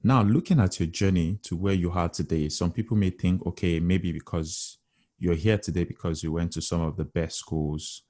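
A man hosting an interview show, reading a formal guest introduction and asking questions in a measured way. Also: deep voice, steady tone, warm tone.

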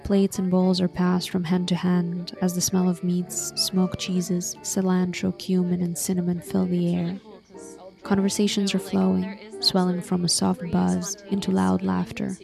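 Gravelly, flat-voiced woman narrator over music. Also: lowish voice, a little slow. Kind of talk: scripted scene-setting narration.

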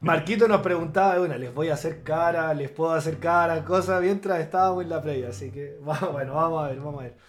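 A man's voice: fairly high pitch, fairly even intonation, fast, few pauses. A man co-hosting a podcast, chatting casually. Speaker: co-host. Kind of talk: casual chat. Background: guitar music.

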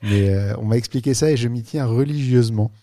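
A man hosting a podcast and interviewing a guest in a relaxed way with many fillers. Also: medium pitch, brisk, a little hiss or hum. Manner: relaxed, filler-heavy questioning. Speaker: podcast host.

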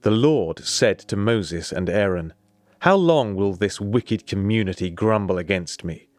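Guy doing a solemn bible reading, lowish voice, pretty flat pitch, music underneath. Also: unhurried, dry recording. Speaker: scripture reader.

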